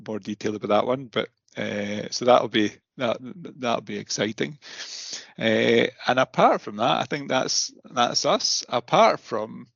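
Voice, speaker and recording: Guy hosting a podcast, bit of fry in the voice, stop-start and chatty, kinda muffled recording. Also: medium pitch, no echo. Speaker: podcast host. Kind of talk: casual podcast chat.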